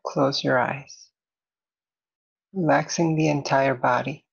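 A woman leading a guided meditation as an instructor, soothing and deliberate, in measured phrases with long pauses. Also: a bass voice, fairly even intonation, vocal fry, breathy edge, muffled recording.

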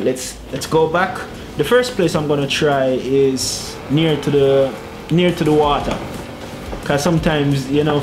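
A young man giving casual gameplay commentary on a headset in a small room at home, chatty, muttering to himself and laughing as he drives. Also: fairly high pitch. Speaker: gaming YouTuber. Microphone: gaming headset.

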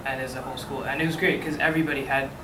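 A teenage boy reporting back at a student meeting, off-mic with clear room noise. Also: mid-range voice, fairly even intonation, rapid-fire. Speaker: student council member. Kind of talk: informal recounting. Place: school classroom, indoors. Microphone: distant camera microphone. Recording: echoey, distant, noisy room.

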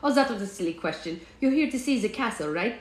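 A woman voicing an eager, curious ten-year-old boy in a story, with an attempted German accent and an animated manner. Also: on the high side, quick pace, voice pushed up, close to an everyday voice. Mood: chatty, eager. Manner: animated, giddy, wide-eyed. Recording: echoey room.

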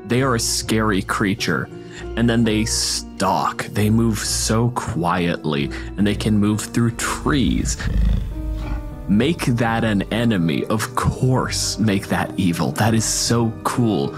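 Male podcast panelist, lowish voice, casual game talk, vivid explanations, prominent background music. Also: expressive, slow. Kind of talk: casual panel discussion about tabletop games.

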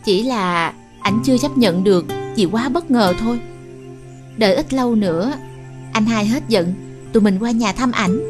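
Woman playing a gentle, reassuring young bride-to-be. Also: a little high, moderate pace, natural voice. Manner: soothing, understanding. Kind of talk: animated fairy-tale voice acting. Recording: music clearly behind the voice.

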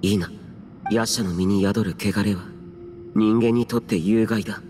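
Aloof, stoic yaksha warrior played by a man over loud music. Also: fairly low pitch, steady tone, measured pace, close to an everyday voice. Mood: stern. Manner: terse, archaic, solemn, reserved. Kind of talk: Japanese anime game voice acting. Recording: music behind.

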